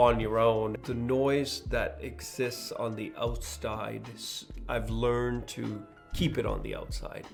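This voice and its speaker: A man in his mid-thirties speaking as host in a reflective personal monologue, with an earnest, deliberate delivery. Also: lowish voice, creaky. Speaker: host, new father.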